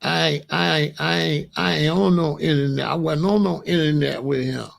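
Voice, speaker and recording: Man, gravelly voice, slightly high, blunt, slangy, repetitive, casual commentary monologue. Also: fairly even intonation, normal speed. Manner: repetitive, keeps asking you know what I'm saying. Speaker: commentary channel host.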